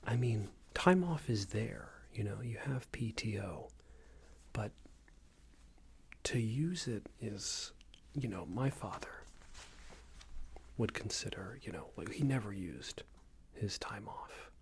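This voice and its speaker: A man playing a smarmy, passive-aggressive company boss in a soft-spoken ASMR roleplay, hesitant and condescending, often half-whispered. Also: on the low side, lively intonation, slow, exaggerated voice. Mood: disapproving, condescending. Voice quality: breathy, half-whispered, creaky. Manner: hesitant, hedging, condescending, rambling corporate small talk.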